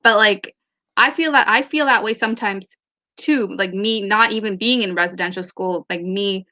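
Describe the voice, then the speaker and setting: A woman's voice: mid-range voice, normal speed, sharp tone, dull audio. A woman whose first language is Tamil, co-hosting a casual book club discussion over a video call, thoughtful and full of fillers and restarts. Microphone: laptop or phone mic on a video call.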